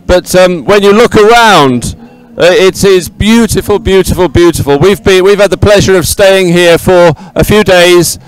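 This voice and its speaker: Male live-event presenter, high smooth voice, effusive, chatty, repeats himself for emphasis. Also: normal speed, hardly any pauses, low-quality recording. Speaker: sports presenter and co-commentator. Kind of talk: live unscripted event commentary and chat. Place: outdoors at a busy regatta venue.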